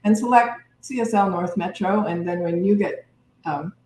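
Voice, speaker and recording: A woman's voice: fairly low pitch, moderate pace, a little mellow. A woman giving informal announcements as host of a livestream. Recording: roomy, echoey livestream with faint noise.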